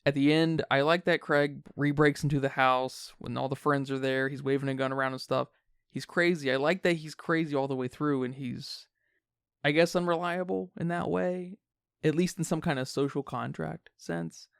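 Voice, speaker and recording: A man's voice: on the high side, steady tone, normal speed, gravelly, clear, resonant tone, no room sound, clean studio sound. A man co-hosting a podcast, talking casually and analytically about film in wordy phrasing. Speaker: podcast co-host.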